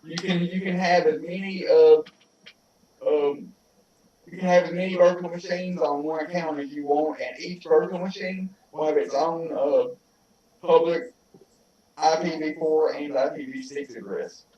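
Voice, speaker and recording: A man explaining web hosting in casual chat on a video call, halting and repeating words as he goes. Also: a little high, steady tone, dark tone. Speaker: tech-savvy participant on a video call. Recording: echoey, distant, dull call audio.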